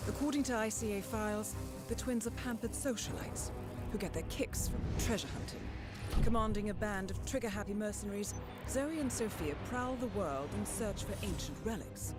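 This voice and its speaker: A woman playing a poised, dryly witty intelligence handler in a video game, with a British accent and a crisp, formal, understated delivery. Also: medium pitch, moderate pace, natural voice. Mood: dry, cool. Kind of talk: scripted mission briefing, game voice acting. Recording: loud music behind the voice. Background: loud game soundtrack music.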